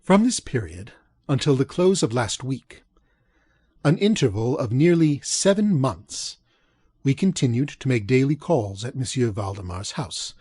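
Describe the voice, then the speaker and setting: A man with a husky, slightly rough voice reads gothic fiction as an audiobook narrator. His phrasing is measured and formal, with deliberate delivery. The sound is close and dry. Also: mid-range voice, very animated, darkish tone, no room sound.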